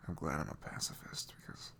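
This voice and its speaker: A man playing an emotional, remorseful ex-boyfriend in an intimate ASMR roleplay, speaking softly and haltingly as he pleads and confesses. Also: close to an everyday voice. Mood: whispered pleading. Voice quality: soft, breathy, low murmur with creak.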